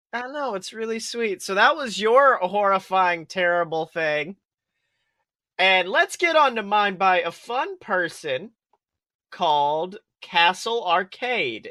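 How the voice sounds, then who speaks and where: A man's voice: high voice, lively intonation, slow, sharp tone, no room sound, studio quality. A man co-hosting a podcast with casual comedic banter, rambling and exclamatory. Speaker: podcast co-host.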